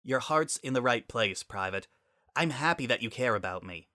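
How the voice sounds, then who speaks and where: A man's voice: mid-range voice, expressive, normal speed, sharp tone, no room sound, clean studio sound. A man voicing a stern, proud, wounded female pegasus officer in a dramatic story reading. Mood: firm, softened.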